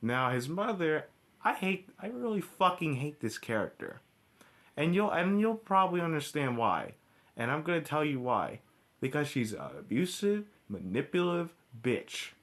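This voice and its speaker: A man's voice: fairly high pitch, sing-song, fairly bright tone. A man giving informal, ranting review commentary, blunt and profane, often backtracking and restarting his sentences. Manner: halting, with repeated restarts and backtracking. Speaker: cartoon episode reviewer.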